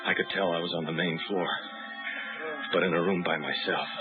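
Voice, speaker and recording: An actor playing a determined freelance pilot who narrates in the first person in a 1950s radio drama, terse and urgent. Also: male voice, deep voice, fairly even intonation, a little fast, natural voice. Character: hard-boiled adult male freelance pilot, determined. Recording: tinny old radio broadcast with music.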